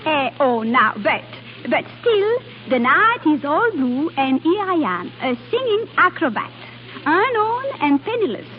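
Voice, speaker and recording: A woman's voice: high-pitched, big pitch swings, a little slow, a little hiss or hum. A woman acting a young, penniless singing acrobat with a wistful, coquettish manner in an old radio drama. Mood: dreamy, teasing. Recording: muffled vintage radio recording with music.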